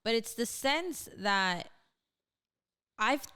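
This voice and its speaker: Young woman, smooth clear voice, reflective casual podcast talk. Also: on the high side, animated, extremely slow, sharp tone. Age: young adult. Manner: reflective, frequent agreeing "absolutely". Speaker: podcast co-host. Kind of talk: casual chat. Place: Houston, Texas.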